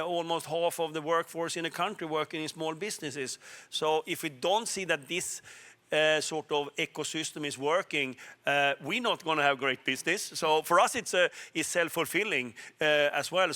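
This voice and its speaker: A middle-aged man from Sweden with a Swedish accent, speaking as a guest on a business panel, halting with many fillers and restarts. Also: fairly high pitch, sharp tone. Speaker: panel guest, telecom executive. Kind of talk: panel discussion.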